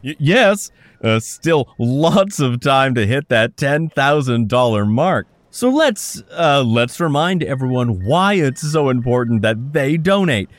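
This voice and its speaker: A man's voice: medium pitch, big pitch swings, slow pace, no room sound. An actor playing a flustered male telethon host who stammers through forced cheer.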